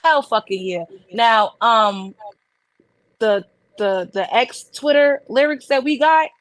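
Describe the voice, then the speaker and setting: A woman's voice: on the high side, takes it slowly, noisy background. A woman co-hosting a casual podcast, blunt and fired up, swearing as she argues her point. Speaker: podcast co-host. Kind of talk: heated casual chat.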